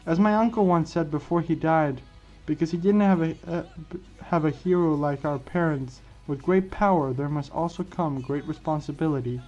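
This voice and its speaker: A man voicing an earnest higher-pitched teen boy hero. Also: warm tone, lightly acted. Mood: consoling, earnest. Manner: sincere, halting speech-making. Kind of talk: fan-fiction story dialogue. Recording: dull lo-fi mic, music behind.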